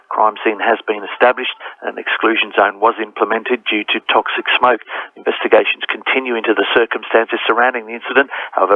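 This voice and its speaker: Brisk Australian policeman on a phone line. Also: lowish voice, dry recording. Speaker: police sergeant. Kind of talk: official statement to media. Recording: narrow, tinny phone-line sound.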